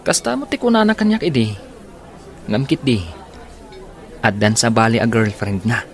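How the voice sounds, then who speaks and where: A man's voice: medium pitch, very animated, normal speed, a faint crowd. A man playing a frank young friend giving advice, with an Ilocano accent, in a radio drama. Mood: advising. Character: frank young male friend advising a heartbroken woman.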